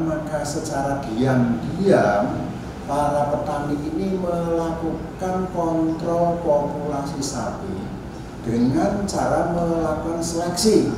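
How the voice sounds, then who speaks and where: A man from Indonesia gives an informal university lecture, explaining deliberately and often adding small check-in tags. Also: fairly high pitch. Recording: echoey room, distant mic, noisy.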